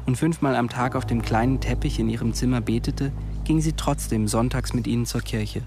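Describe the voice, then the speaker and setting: A man's voice: mid-range voice, fairly quick, music in the background. A man reading scripted literary narration as the narrator of a radio drama.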